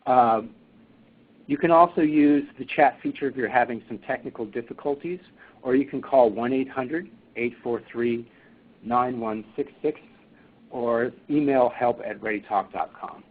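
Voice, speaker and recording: A man moderating a webinar, speaking haltingly with many fillers and restarts. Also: medium pitch. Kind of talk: webinar presentation. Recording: tinny phone line.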